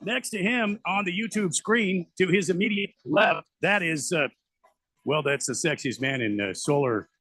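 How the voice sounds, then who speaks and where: A man's voice: high-pitched, moderate pace, sharp tone, no echo. A man hosting a live sports talk radio show, chatty and full of hesitant fillers and restarts.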